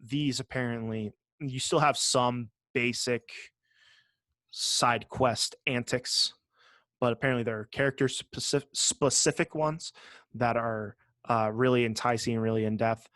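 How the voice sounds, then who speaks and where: A man chats casually as a podcast co-host in a slightly halting, joking way. His voice sits at a middling pitch, and the recording is dry with no room sound. He is sarcastic and loose with swearing. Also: fairly even intonation, fairly bright tone, no echo. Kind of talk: casual gaming podcast chat.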